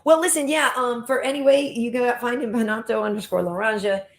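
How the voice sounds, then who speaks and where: Female host, relaxed and cheerful, casual show sign-off. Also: a little high, fairly quick. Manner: upbeat, easygoing, laughs often.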